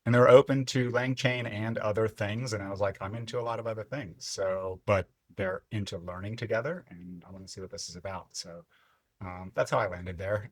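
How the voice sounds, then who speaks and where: A man in his fifties, originally from Lebanon, telling his story as a podcast guest in a reflective, unhurried way. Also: on the low side, breathy edge, dry recording. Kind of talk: casual interview chat.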